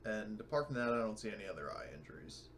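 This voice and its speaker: A man's voice: on the low side, sing-song, fast, fairly bright tone, a little hiss or hum. A man chatting casually as a player in a tabletop roleplaying game, hesitantly working through rules aloud.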